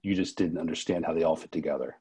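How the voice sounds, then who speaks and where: A man interviewing a guest conversationally, with halting, wry and wordy phrasing. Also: a bass voice, steady tone, mellow, breathy edge. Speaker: interviewer, festival co-director.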